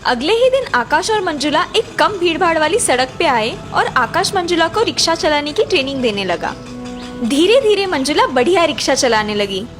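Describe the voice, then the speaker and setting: A woman's voice: high-pitched, big pitch swings, moderate pace, over music. A woman narrating a scripted story for children.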